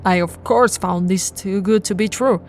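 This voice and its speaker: A woman voicing a possessive, seductive vampire royal in an ASMR roleplay, teasing and often slipping into breathy whispers. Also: mid-range voice, soft background music, lightly acted. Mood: haughty, intrigued. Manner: slow, teasing, breathy whispering with soft laughs.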